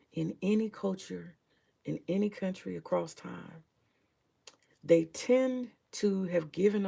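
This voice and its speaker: A woman with a deep morning voice chatting casually about her books, rambling, swearing freely and muttering to herself as she searches her shelves. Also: gravelly, dark tone, husky. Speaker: vlogger sharing her book collection. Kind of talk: casual home vlog chat.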